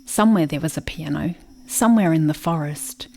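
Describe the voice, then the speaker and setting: A woman's voice: low-pitched, fairly quick, mellow. A woman playing a dreamy, haunted settler girl in a radio play, speaking in an incantatory, repetitive monologue. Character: haunted, dreamy settler girl mourning her lost brother.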